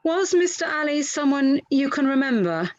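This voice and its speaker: A woman reads a polite lawyer questioning a witness at a formal inquiry. The voice is on the high side and recorded very dry. The delivery is methodical and slightly halting, like reading from a transcript. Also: sing-song, dry recording, dull audio.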